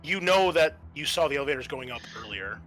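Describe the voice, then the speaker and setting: Bright-voiced man running a game, music behind. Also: a little high, expressive, quick pace. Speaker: game master and host. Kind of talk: narrating a tabletop roleplaying game.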